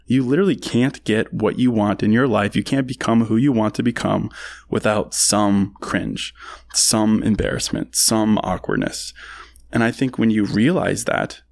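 A man's voice: on the low side, fairly even intonation, normal speed, creaky, darkish tone, no room sound. An American man in his thirties hosting a podcast, giving a casual motivational monologue in a conversational, emphatic way, repeating phrases for effect. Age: 30s. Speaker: podcast host.

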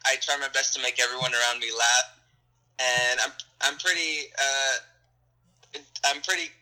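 A man's voice: mid-range voice, fairly even intonation, a little slow, pushed and bright, low-quality recording. A young adult man, an actor, answering interview questions in a friendly, rambling way with frequent laughs and restarts.

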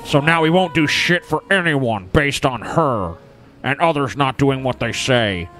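A man on a podcast reads a written post out loud. His voice sits at an ordinary mid pitch, as a co-host sharing text with the others. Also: lively intonation, measured pace. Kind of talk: reading a written post aloud.

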